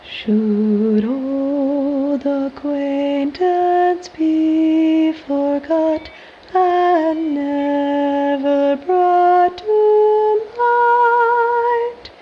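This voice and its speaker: Female singer: high, unhurried traditional song, soft-edged lo-fi sound with faint music. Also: fairly even intonation. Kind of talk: slow sung traditional ballad.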